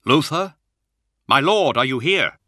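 An earnest warrior-librarian, played by a male narrator, pleading with intensity and respect. The voice is raised well above the narration, very bright and forceful, in a dramatic audiobook style. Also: a little high, expressive, measured pace, no room sound, clean studio sound, voice pushed up, full character voice. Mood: anxious. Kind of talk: audiobook character acting.

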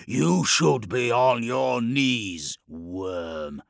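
A man voicing a contemptuous mutant henchman in an audiobook, snarling in a slow, menacing, commanding way. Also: medium pitch, lively intonation, gravelly, no echo, clean studio sound, voice pushed up, exaggerated voice. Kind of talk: audiobook villain acting.

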